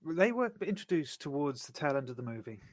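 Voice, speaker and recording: A man co-hosting a podcast, talking through his views on a film in a measured, thoughtful way. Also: on the high side, sing-song, no room sound. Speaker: podcast co-host. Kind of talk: casual film discussion.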